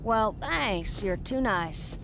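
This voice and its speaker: Measured, determined superheroine. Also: female voice, medium pitch, animated, noisy background. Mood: polite. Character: sensible, polite, determined superheroine mom. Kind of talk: animated game dialogue.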